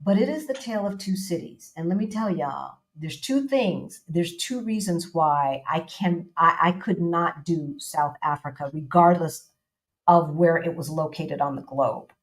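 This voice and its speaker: A woman with a low voice hosts a relaxed live-stream chat. She talks in a loose, chatty way, repeats herself for emphasis and drops casual slang as she goes. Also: unhurried. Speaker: host.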